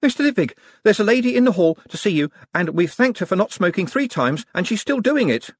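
Flustered young clerk, played by a male reader: raised pitch, breathless, pressing delivery, audiobook. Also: big pitch swings, brisk, slightly rough, no room sound, studio quality, exaggerated voice. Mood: urgent, flustered. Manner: urgent calling out.

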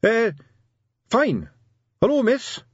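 Captain Carrot, a man voicing an earnest higher-pitched officer. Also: sing-song, normal speed, slightly rough, dry recording, lo-fi sound. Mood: urgent. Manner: deferential, earnest. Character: Captain Carrot, earnest dutiful young police captain.

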